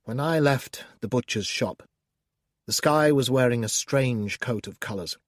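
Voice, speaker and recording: A man narrating an audiobook as a scornful, menacing male demon who taunts the reader, theatrical and swinging between hushed and loud. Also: mid-range voice, a little slow, no room sound, clean studio sound, close to an everyday voice. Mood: brooding. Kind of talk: first-person audiobook narration.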